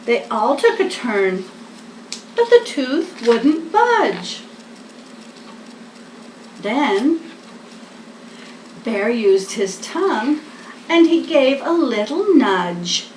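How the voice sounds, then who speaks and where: An older woman reading a picture book aloud to small children, expressive and deliberate. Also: sits high. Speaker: storybook reader, host. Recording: echoey room, distant mic, faint noise.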